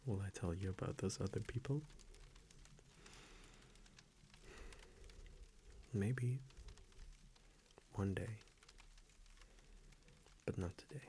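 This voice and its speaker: A man playing a gentle, confiding vampire boyfriend in an intimate ASMR roleplay, speaking hushed and hesitant and often slipping into a whisper. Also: lowish voice, a little slow, a little hiss or hum, lightly acted. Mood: tender, reassuring.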